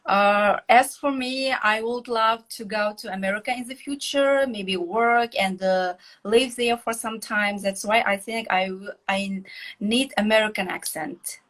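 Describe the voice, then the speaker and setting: A woman from Kazakhstan with a Kazakhstani accent, answering conversationally as an English learner in hesitant, halting speech. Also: medium pitch, fairly bright tone.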